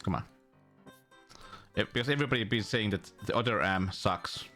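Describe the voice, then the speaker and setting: A man's voice: fairly low pitch, normal speed, pushed and bright, faint music behind. A man streaming a game, talking casually to himself in a rambling, playful way. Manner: rambling, playful self-talk. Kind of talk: casual gameplay chat.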